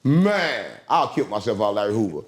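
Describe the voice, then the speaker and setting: A man talking as an interview guest in casual, rambling chat, animated and repeating his catchphrases. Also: lowish voice, moderate pace.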